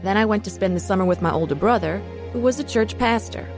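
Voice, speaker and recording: A woman's voice: medium pitch, fast talker, music in the background, natural voice. An actress playing a reflective young woman who narrates her troubled youth in a confessional radio drama. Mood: regretful. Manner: earnest, confessional. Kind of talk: scripted first-person radio drama narration.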